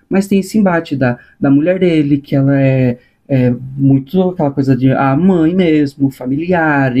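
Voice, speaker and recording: A man's voice: a little high, mellow. A man with a Brazilian Portuguese accent telling stories casually in a personal vlog, informal and colloquial, thinking aloud as he goes. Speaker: animation supervisor.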